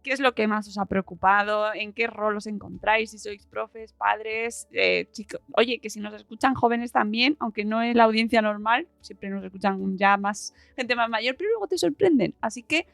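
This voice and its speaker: A woman's voice: mid-range voice, moderate pace, gravelly, few pauses, fairly bright tone, dry recording, faint music behind. A middle-aged woman with a Spain accent chatting casually and informally as a podcast host. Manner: chatty, informal, laughs often. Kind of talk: casual chat.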